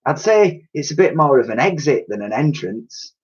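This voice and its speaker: A man's voice: a little high, very animated, moderate pace, husky, highs cut off, pitched above the performer's own voice, full character voice. A man voicing a feisty, dry-humoured middle-aged cleaning lady from a children's storybook. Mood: dry, bluffing.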